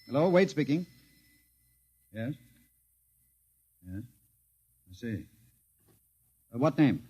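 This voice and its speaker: An actor with an American accent playing a brusque, skeptical, authoritative bureau chief in 1950s radio drama style, clipped and dry in manner. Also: male voice, medium pitch, very animated, slow, mellow, slightly rough, highs cut off, exaggerated voice. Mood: brisk.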